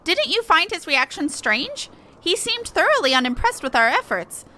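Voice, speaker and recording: A woman's voice: very high-pitched, big pitch swings, normal speed, sharp tone, voice pushed up, full character voice. A woman voicing a small, earnest moogle crafter from a video game, eager and given to exclaiming. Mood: determined. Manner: earnest, exclaiming, ends remarks with a verbal tic. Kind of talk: reading game dialogue aloud in character.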